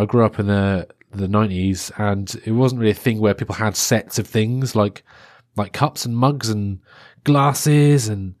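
A man's voice: medium pitch, no echo, clean studio sound. Congested man rambling on a podcast. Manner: rambling and chatty, many restarts and fillers. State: stuffy-nosed, congested. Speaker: podcast host. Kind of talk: solo podcast monologue.

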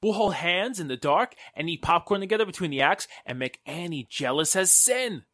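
An actress playing a breezy, wisecracking friend with wry, slangy talk in 1940s radio drama style. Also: female voice, lowish voice, animated, moderate pace, a bit bright, dry recording, studio quality. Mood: playful, excited. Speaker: actor.

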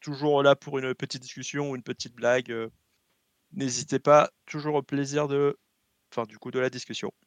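A young man from French Guiana, a guest in an interview, speaking in a relaxed way with constant hesitations. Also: medium pitch, moderate pace, no room sound. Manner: relaxed, very hesitant with constant fillers, laughs often.

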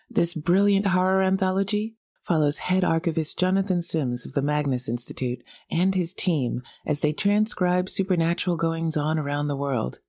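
Low, creaky, wry huntress. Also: female voice, a little mellow, no room sound, full character voice. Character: wry woman hunter who travels between worlds. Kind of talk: scripted in-character podcast promo.

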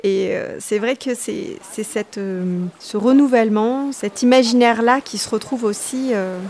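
A woman novelist from Tunisia answering interview questions thoughtfully, often pausing to search for her words. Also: a little high, darkish tone, a little hiss or hum. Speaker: novelist guest.

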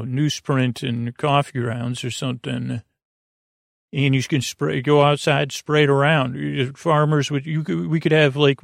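A man hosting a sleep podcast with a meandering, halting bedtime monologue, often trailing off mid-thought in a creaky, gravelly voice. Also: medium pitch, no echo, studio quality. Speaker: podcast host. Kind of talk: rambling bedtime monologue to help listeners sleep.

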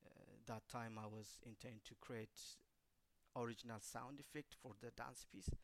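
A man, a choreographer and dancer, telling an interviewer about a creative project, speaking haltingly and searching for words. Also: medium pitch, fairly even intonation.

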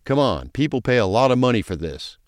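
An actor playing a smooth-talking, pushy lawman who strikes deals, in a crime audio drama. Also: medium pitch, very animated, brisk, no echo, clean studio sound, lightly acted. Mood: persuasive.

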